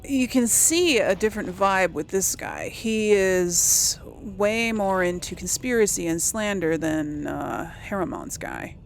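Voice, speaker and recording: A woman's voice: mid-range voice, expressive, slow pace, hardly any pauses, faint music behind. A woman doing casual, musing game commentary, thinking aloud as she plays and role-plays. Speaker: gamer host of a role-play let's play.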